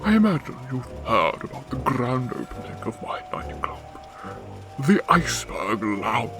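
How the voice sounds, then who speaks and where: A man playing a genteel, flattering criminal mastermind and nightclub owner in a radio drama, speaking in a courtly, effusive, formal way. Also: medium pitch, a little slow, music in the background. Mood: smooth courtly flattery.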